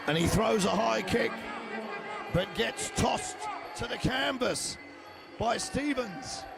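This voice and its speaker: Hoarse older male fight commentator. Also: very high voice, slow, a loud crowd. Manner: excitable, shouts big moments. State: losing his voice. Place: arena.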